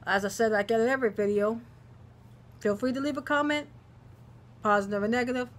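A woman in her thirties with a Cajun accent and a naturally deep voice, rambling casually in a home video diary, full of ums and self-corrections. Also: fairly even intonation, brisk. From Southern US. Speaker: vlogger, aspiring actress. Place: living room at home, indoors. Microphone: camera close at face level.

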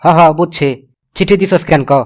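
A man's voice: high-pitched, moderate pace, faint music behind. A man voicing a brusque, dry village uncle in a comedy cartoon, with a colloquial Bangladeshi accent. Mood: wary. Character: gruff, grudging village uncle looking after nephew.

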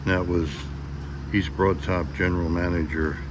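A man filming a railroad visit, announcing the place and date in a low-key, matter-of-fact way from behind the camera. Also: level tone, moderate pace. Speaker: videographer narrating his own train video. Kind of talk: casual on-camera narration. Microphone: camera mic, close to the person filming. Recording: clear background noise.

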